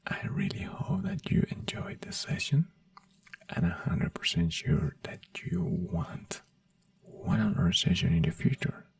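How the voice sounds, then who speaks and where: A non-native English speaker in a soft-spoken ASMR doctor roleplay, whispering breathily in a gentle, reassuring manner. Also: low voice, gravelly, recorded from a distance. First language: not English. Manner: gentle, reassuring personal attention. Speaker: ASMR performer playing a brain doctor.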